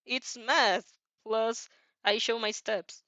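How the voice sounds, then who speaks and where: A woman's voice: on the high side, fairly even intonation, slow, bright, forceful tone, dry recording, muffled recording. A woman voicing a carefree, guileless teenage student in a fan fiction reading, with a breezy, upbeat manner. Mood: puzzled. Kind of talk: fan fiction read aloud.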